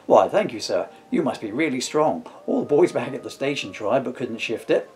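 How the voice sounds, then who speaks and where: A man's voice: medium pitch, expressive, normal speed, close to an everyday voice. A man voicing a polite, sarcastic police officer from a comic storybook, with a formal, dry manner. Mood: friendly.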